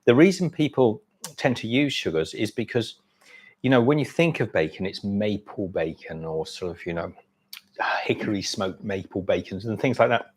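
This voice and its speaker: A man's voice: lowish voice, animated, normal speed. A middle-aged man hosting a casual livestream, talking about food in a rambling, chatty way with frequent restarts. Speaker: livestream host. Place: home, eating during a livestream.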